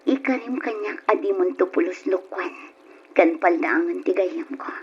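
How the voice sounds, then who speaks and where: An Ilocano woman acting a self-sacrificing young woman torn by forbidden love in a radio drama, pleading in a breathy, emotional way. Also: fairly low pitch, moderate pace. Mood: tearful, earnest. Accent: Filipino, Ilocano. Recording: muffled, noisy old radio drama recording.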